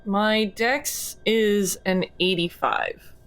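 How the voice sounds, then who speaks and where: A woman playing a tabletop game, chatting casually and thinking out loud, with some noise on the recording. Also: medium pitch, fairly even intonation, extremely slow, smooth.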